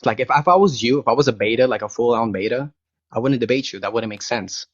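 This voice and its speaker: A man's voice: medium pitch, quick pace, dry recording. A young man whose first language is Spanish, arguing bluntly in a casual live-stream debate, rambling with many restarts and fillers. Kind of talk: casual argumentative chat. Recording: dry, dull lo-fi call audio.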